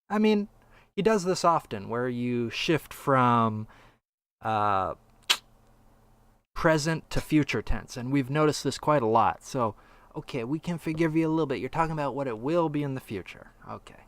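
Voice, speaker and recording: A man's voice: mid-range voice, animated, normal speed, long pauses, no room sound. A man hosting a podcast, chatting casually and offering commentary into a streaming microphone. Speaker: podcast host.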